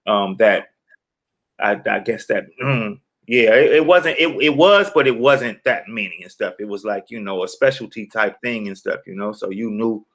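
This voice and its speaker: A man, talking in a rambling, relaxed way full of "you know" fillers as a guest in an interview. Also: on the low side, normal speed. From South Central Los Angeles. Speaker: guest rapper. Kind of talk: casual interview talk.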